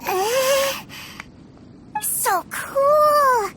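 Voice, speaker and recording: A woman's voice: extremely high pitch, very slow, background noise, full character voice. A woman voicing an innocent, adoring little boy in a video game, with a childlike, gushing delivery. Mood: awed, delighted. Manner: wide-eyed, gushing.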